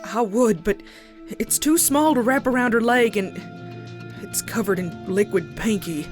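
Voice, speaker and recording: A woman's voice: fairly high pitch, unhurried, lightly acted. A woman voicing a tough, practical female cartoon farm pony with a Southern US accent and blunt, folksy manner. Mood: sombre, apologetic. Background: music.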